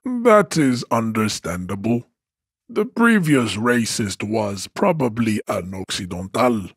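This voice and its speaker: A man's voice: fairly low pitch, husky, no echo, studio quality, heavily acted. An actor playing an imposing, arrogant supremacist strongman in a video game, lecturing in a pompous, formal, declamatory way with grandiose contempt. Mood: contemptuous. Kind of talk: video game character acting.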